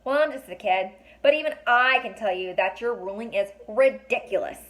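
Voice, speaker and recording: A woman's voice: a little high, moderate pace, close to an everyday voice, rough recording. A woman plays a young boy in a children's storybook. He is outspoken and indignant, speaking bluntly and with emphasis, as someone who will not back down.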